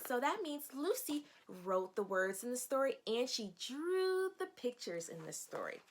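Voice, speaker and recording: A woman teacher reading a picture book aloud to young children, expressive, explaining simply and asking questions. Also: on the high side, a little hiss or hum.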